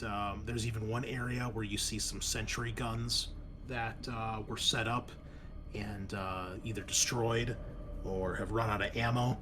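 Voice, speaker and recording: A man running a tabletop horror game as game master, narrating off the cuff in a halting, think-aloud way. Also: medium pitch, background noise.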